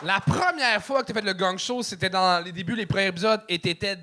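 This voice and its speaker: A man hosting a live comedy show and giving feedback, loud and teasing, with a Québécois French accent. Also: sits high, a little fast, slight background noise. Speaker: comedy show host and judge.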